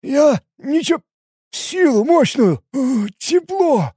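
A man's voice: extremely high pitch, big pitch swings, takes it slowly, mellow, no echo, clean studio sound, voice pushed up, exaggerated voice. A man voicing a panicky, cowardly, foul-mouthed young male sidekick with a frantic, whiny delivery in an audiobook. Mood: anxious, whining.

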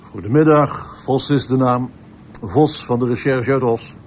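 A man's voice: mid-range voice, a little slow, a little mellow, a little hiss or hum, natural voice. A man playing a composed, probing police inspector in a radio drama, formal and methodical. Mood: businesslike.

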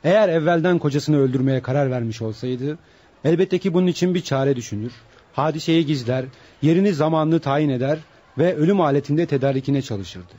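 An actor playing an impassioned defense lawyer, pleading formally in a radio courtroom drama. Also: male voice, fairly high pitch, fairly quick, warm tone, close to an everyday voice. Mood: earnest pleading. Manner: formal, oratorical. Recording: dull lo-fi radio drama recording.

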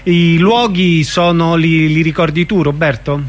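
A man's voice: on the high side, a little slow. A man hosting a radio interview, asking brief questions and keeping it moving. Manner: brief prompts and backchannel. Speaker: radio host. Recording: radio broadcast.

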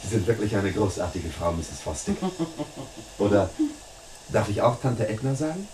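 An actor playing a charming, flattering young man in a German radio play, attentive and ingratiating, in standard German. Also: lowish voice, normal speed. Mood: flattering, breezy. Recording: distant, noisy old radio recording.

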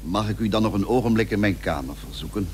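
An actor playing a courteous, persuasive statesman in a period radio drama, with formal, measured, confiding speech. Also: lowish voice, darkish tone, natural voice. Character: courteous, persuasive male statesman of the Dutch Republic. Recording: humming, noisy old radio recording.

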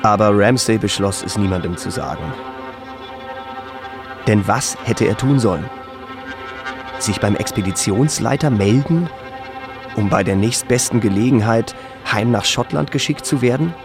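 Male narrator for literary radio drama, lower register, set over a clear music bed. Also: measured pace, long pauses.

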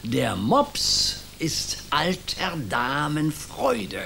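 A gullible, easily alarmed small-town mayor in a radio play. His somewhat high voice has a gravelly edge and swings widely in pitch. He keeps asking bewildered, worried questions. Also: big pitch swings, extremely slow, bright, forceful tone, slight background noise, full character voice. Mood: smug.

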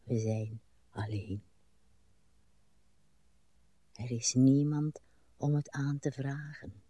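Very slow, weary old wife. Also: female voice, very deep voice, warm tone, husky, full character voice. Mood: weary, mournful. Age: older. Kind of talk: literary radio drama acting.

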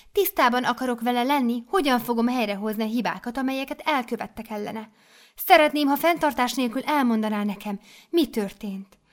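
A woman putting on a gushing high-voiced mother superior. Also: natural voice. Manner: gushing, effusive, commanding. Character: doting excitable older female mother superior.

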